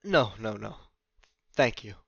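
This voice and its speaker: A man playing a flustered, confused, nature-loving man who speaks haltingly in formal words in an audio drama. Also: on the low side, animated, creaky, fairly bright tone, slightly rough, no echo, dull audio, heavily acted. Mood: dazed, awed. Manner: halting, stammering, formal wording.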